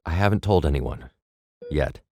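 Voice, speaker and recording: Man acting a very deep-voiced, worried father. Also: lively intonation, normal speed, a little mellow. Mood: guarded. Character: worried, firm middle-aged father. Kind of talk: audio drama acting.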